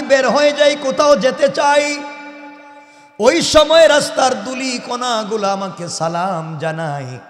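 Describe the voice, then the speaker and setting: A man with a Bangladeshi accent preaching an Islamic sermon as dramatic storytelling, declaiming and drawing phrase ends into a sing-song chant. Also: extremely high pitch. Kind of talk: Bengali waz sermon, dramatic religious storytelling. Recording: echoey PA sound with long reverb.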